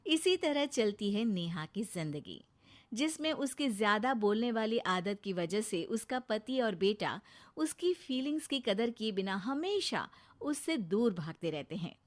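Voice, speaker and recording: A woman narrating a children's cartoon story in a lively, expressive way. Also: mid-range voice, measured pace.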